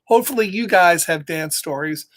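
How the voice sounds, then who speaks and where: A middle-aged man reading aloud and telling casual stories to children in an encouraging, expressive, chatty way. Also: high-pitched, moderate pace. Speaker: storytime reader for children. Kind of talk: animated read-aloud and casual personal storytelling.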